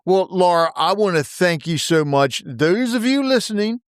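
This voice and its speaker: A man's voice: high voice, normal speed, smooth, no room sound. Enthusiastic male podcast host, dry sound. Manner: eager, enthusiastic, reflective when wrapping up. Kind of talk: interview and closing monologue.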